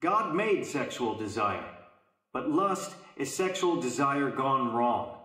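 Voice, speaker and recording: An actor playing an earnest, firm youth pastor preaching to teenagers in an audio drama. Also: male voice, mid-range voice, very animated, slow pace, sharp tone, exaggerated voice. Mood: earnest, serious. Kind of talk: sermon, radio drama acting. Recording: echoey room.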